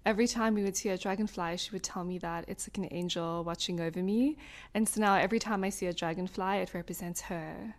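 A woman's voice: fairly low pitch, fairly even intonation, quick pace. A woman hosting an interview podcast, asking questions and giving short encouraging replies. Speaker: podcast host. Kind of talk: conversational interview.

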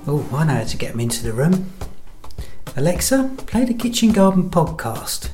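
A woman's voice: deep voice, big pitch swings, moderate pace, warm tone. A woman playing a let-down podcast fan in a scripted comic promo. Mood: upbeat. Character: let-down podcast fan checking phone messages. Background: guitar music.

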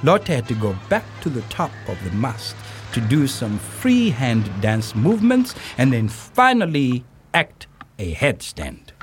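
A proud circus showman in an audio play, telling his story slowly and with suspense. His pitch swings widely between measured, emphatic pauses. Music plays behind him. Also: huge pitch swings. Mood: suspenseful, grave. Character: circus troupe director recalling a high-wire act, dramatic, proud. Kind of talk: dramatic first-person storytelling in an audio play.

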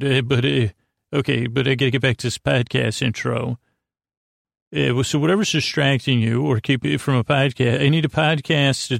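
Male sleep podcast host: gravelly creak, dry sound, halting goofy meanders, self-deprecating and non-threatening. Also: mid-range voice, no room sound. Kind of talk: rambling bedtime sleep podcast monologue.

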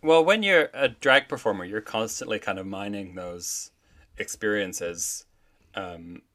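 A man's voice: on the low side, measured pace, bright, forceful tone. A man from Canada, a drag performer, chatting casually as a guest on a podcast, full of "like" and "I think". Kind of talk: casual interview chat.

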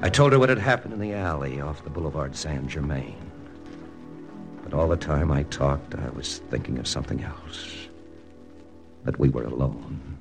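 An actor playing a hard-boiled army lieutenant, narrating in a clipped first-person style for a 1940s radio drama. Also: male voice, very deep voice, expressive, moderate pace. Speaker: narrator and lead. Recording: old radio broadcast with music.

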